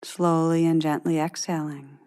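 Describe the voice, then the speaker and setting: A woman guiding a meditation in a gentle, unhurried, soothing manner, giving calm instructions with long pauses. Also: deep voice. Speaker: meditation teacher guiding a practice. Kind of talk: guided meditation, scripted instruction.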